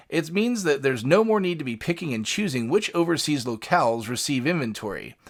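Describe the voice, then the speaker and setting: A man hosting a podcast, delivering scripted commentary in a narrating style. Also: medium pitch. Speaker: podcast host.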